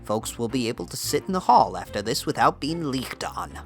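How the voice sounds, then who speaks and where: An actor playing a gruff but consoling older farmer neighbour in a dramatized audiobook. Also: male voice, medium pitch, big pitch swings, a little fast, faint music behind. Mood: consoling, wry. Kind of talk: dramatized audiobook character acting.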